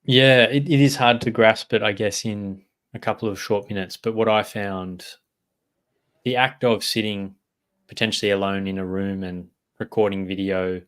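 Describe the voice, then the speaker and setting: A man speaking as a guest in a live conversation, sharing his experience in a measured, reflective way. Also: fairly low pitch, steady tone, a bit bright, smooth.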